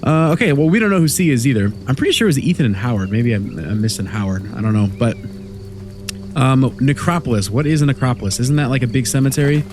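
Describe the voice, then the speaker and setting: A man's voice: mid-range voice, lively intonation, fast talker, music in the background. A young man with a stuffy voice from a cold, chatting loosely through a horror game as a gaming host. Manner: rambling, easygoing, chatty. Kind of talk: casual let's play commentary. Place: home room at night.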